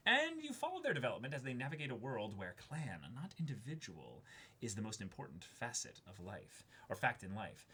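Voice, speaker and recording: Young male co-host, bright and animated, reads letters aloud with offhand comments and the odd stumble. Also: mid-range voice, a little hiss or hum. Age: young adult. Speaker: co-host of an unboxing show. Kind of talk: reading a letter aloud with casual asides. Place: small indoor room, seated before a backdrop.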